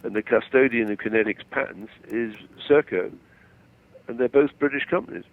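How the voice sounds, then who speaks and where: A man's voice: medium pitch, moderate pace. Older man on a tinny phone line. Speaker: phone-in guest. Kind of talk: conversational explanation and opinion over the phone. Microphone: telephone. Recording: tinny narrow-band phone call.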